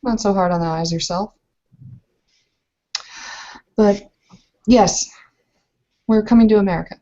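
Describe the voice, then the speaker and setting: A woman playing a dry, guarded young bride in a tabletop roleplay, speaking deliberately and with pauses. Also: mid-range voice, dark tone, lo-fi sound, voice pushed up, close to an everyday voice. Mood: wry, guarded. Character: dry, guarded young Bavarian noblewoman bride, werewolf kinfolk.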